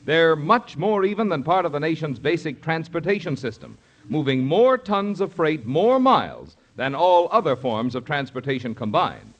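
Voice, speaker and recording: Animated, higher-pitched 1950s male radio announcer. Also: normal speed, dull audio. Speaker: radio announcer and sponsor spokesman. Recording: vintage radio broadcast, some music behind.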